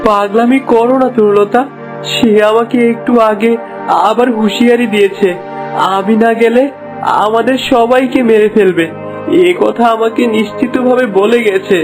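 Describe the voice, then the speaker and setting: A man's voice: very high-pitched, fairly even intonation, moderate pace. A man voicing a dutiful, self-sacrificing young prince in a fairy-tale cartoon, earnest and grave. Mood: grave resignation. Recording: loud music over the voice.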